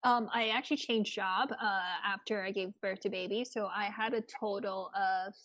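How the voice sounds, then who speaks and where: Woman, native Mandarin speaker, podcast guest, new mother, mid-pitched voice, hesitant. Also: steady tone, moderate pace, fairly bright tone, no room sound. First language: Mandarin Chinese. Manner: hesitant, with many fillers and restarts. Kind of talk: casual podcast chat.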